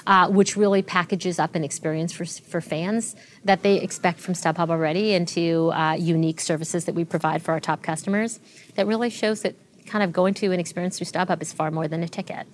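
A woman in her forties, a tech executive being interviewed, telling stories fluently and with lively restarts. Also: fairly low pitch, fairly quick, a little hiss or hum. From Canada. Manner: fluent, animated storytelling with frequent restarts. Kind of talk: interview conversation.

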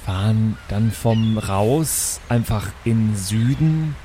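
A man's voice: on the low side, animated, slow, dark tone, slight background noise. A man improvising his character in a tabletop role-playing game, talking in a reluctant, whiny, hesitant way.

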